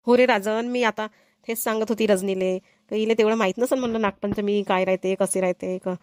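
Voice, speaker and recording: A woman's voice: mid-range voice, steady tone, fairly quick, a little mellow, dry recording, clean studio sound, natural voice. A woman voicing an older, traditional, instructive village mother-in-law in a cartoon, with a rural Vidarbha Marathi accent. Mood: explaining. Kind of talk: amateur cartoon comedy-drama dubbing.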